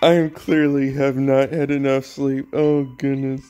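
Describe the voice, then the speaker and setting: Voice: mid-range voice, little pitch movement, measured pace, mellow. A game streamer giving casual commentary, offhand and half muttering to themselves. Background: faint game music.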